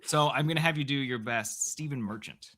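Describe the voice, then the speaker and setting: A man's voice: mid-range voice, fairly quick, fairly bright tone. A man co-hosting a podcast in casual chat, joking and blunt. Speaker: podcast co-host.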